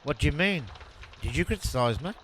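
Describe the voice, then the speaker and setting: An actor playing a naive, dim comic sidekick in an amateur audio drama, blithely oblivious. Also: male voice, fairly high pitch, lively intonation, brisk, fairly bright tone. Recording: clearly noisy amateur recording.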